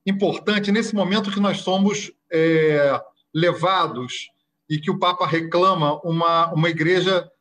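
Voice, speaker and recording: A man interviewing on a podcast, asking questions with hesitant pauses. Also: on the high side, fairly bright tone.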